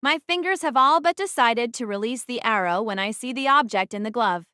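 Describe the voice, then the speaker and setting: A woman's voice: fairly high pitch, a little fast, bright, forceful tone, smooth, no echo, clean studio sound. A woman playing a guarded, wary teenage girl archer who narrates her own story in an audio drama. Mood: wary, tense.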